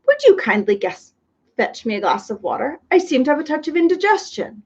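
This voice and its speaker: A puffed-up, long-winded male bug from a storybook, as a woman reader puts him on. He speaks in a high, swooping voice, fussy and self-important. The recording sounds dull and lo-fi. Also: very animated, brisk. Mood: pompous. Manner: grandiloquent, agrees with everyone. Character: pompous fussy male humbug insect, wordy.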